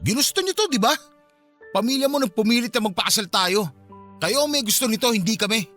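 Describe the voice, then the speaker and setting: A man's voice: sits high, animated, quick pace, pushed and bright, faint music behind. A man playing a cold, resentful young husband who speaks with scorn and accusation in a Filipino radio drama. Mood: accusing, scornful.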